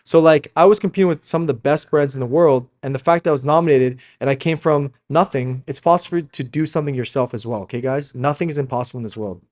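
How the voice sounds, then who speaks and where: A man's voice: mid-range voice, quick pace, hardly any pauses, darkish tone. A man, a Slovak speaker, coaching informally and emphatically in a motivational sales video, often checking in with listeners. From Slovakia, moved to Canada as a young child. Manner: emphatic, addresses listeners as guys, constant tag questions. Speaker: fashion brand founder and coach.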